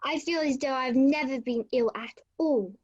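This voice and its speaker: A woman's voice: sits high, moderate pace, darkish tone, slightly rough, no echo. A woman playing a relieved, recovered patient in an amateur radio play. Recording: dull, dry lo-fi home recording.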